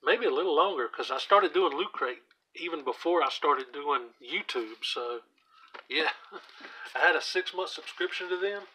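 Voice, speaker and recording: A hoarse man casually walking through his comic book collection, reading out titles with offhand asides while he sits on the floor at home. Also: a little high, lively intonation, normal speed, bright, forceful tone, a little hiss or hum, low-quality recording. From US South. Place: indoors at home, seated on the floor by a shelf. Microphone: camera aimed at the shelf, speaker off screen.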